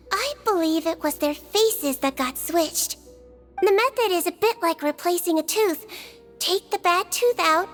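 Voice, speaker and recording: A woman voicing a gentle, childlike head nurse in an anime game, speaking politely and methodically. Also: very high voice.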